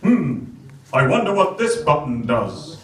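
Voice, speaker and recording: A righteous, self-satisfied superhero in a comic radio serial. He declaims with confidence and drops deadpan asides, heard at a distance in an echoey hall with faint noise. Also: fairly high pitch, unhurried. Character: smug, righteous male superhero, cheerfully moralising. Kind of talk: live radio serial parody. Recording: echoey theatre from far off.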